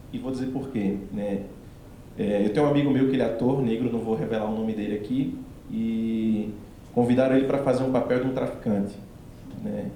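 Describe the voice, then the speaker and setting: A man from Recife with a strong Pernambuco accent, telling a story animatedly in a casual discussion after a film screening. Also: medium pitch. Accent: Pernambuco Northeastern Brazilian. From Recife, Pernambuco, Brazil. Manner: animated storytelling, laughs often. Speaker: actor, panel guest. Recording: very echoey hall, some noise.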